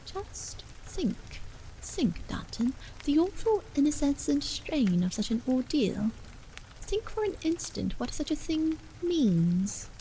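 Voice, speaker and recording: A woman's voice: high-pitched, takes it slowly, slight background noise, voice pushed up, full character voice, poor audio. Woman voicing an earnest old clergyman, sweeping intonation. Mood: cordial, earnest. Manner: emphatic, rhetorical, formal old-fashioned phrasing. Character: seventy-one-year-old clergyman, earnest, cordial, patiently persuasive. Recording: steady rain sounds behind the voice.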